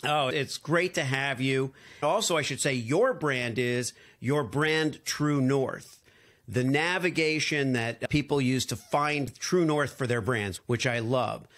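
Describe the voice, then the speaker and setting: A man hosting a podcast, talking in a relaxed, conversational way as he introduces and questions his guest. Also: medium pitch, takes it slowly. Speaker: podcast host. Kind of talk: casual interview talk.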